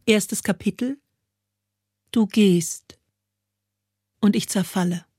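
A woman's voice: mid-range voice, slow pace, warm tone, husky, no echo, studio quality. A woman giving a literary recitation of poetic prose, as a spoken-word performer. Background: music.

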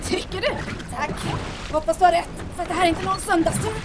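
A woman's voice: very high voice, steady tone. A woman playing a plucky, urgent young rower on a rescue at sea in an audio drama, commanding in manner. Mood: strained, coaxing. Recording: very noisy outdoor boat scene.

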